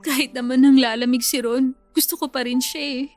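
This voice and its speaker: Woman acting a high-voiced, heartbroken young bride-to-be. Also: fairly even intonation, brisk, darkish tone, soft background music, close to an everyday voice. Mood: plaintive, insistent. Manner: plaintive, confiding, tearful. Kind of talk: Filipino radio drama acting.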